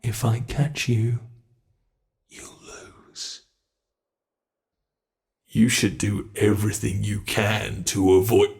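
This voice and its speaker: A man playing a menacing monster in an ASMR roleplay, taunting captives with theatrical, deliberate mock politeness. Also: lowish voice, fairly even intonation, gravelly, darkish tone. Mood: teasing menace.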